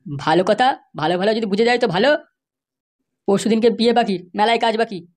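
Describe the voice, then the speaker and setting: Old village dad voiced by a man, unusually high voice, blustering, effusive, eager to please, comedy cartoon. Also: fairly quick, close to an everyday voice.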